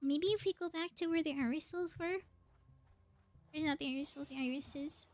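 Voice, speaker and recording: A woman's voice: high voice, normal speed. A woman doing casual live game commentary as a streamer, chatty and thinking aloud as she plays.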